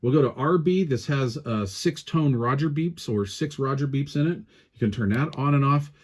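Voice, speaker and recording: A man with a medium-pitched voice gives a casual product walkthrough. He explains things step by step in plain, informal language. His delivery is a little hesitant, as in an unscripted hands-on review. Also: normal speed, darkish tone, slightly rough. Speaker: radio gear reviewer.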